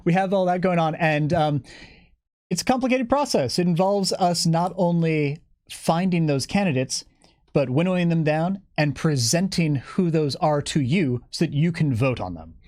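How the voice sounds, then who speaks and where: A man's voice: fairly high pitch, animated, moderate pace, a little mellow, no room sound, studio quality. A man speaking as a guest on a live stream, explaining things in a detailed, methodical way. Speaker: guest game developer giving campaign updates.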